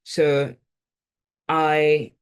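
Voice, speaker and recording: A woman in her twenties from Ghana, with an accent that blends Ghanaian and British, reflecting thoughtfully as an interview guest and often pausing on "like" and "um". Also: sits low, completely flat delivery, smooth. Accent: blend of Ghanaian and British, now neutralized. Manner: thoughtful, with many "like" and "um" fillers.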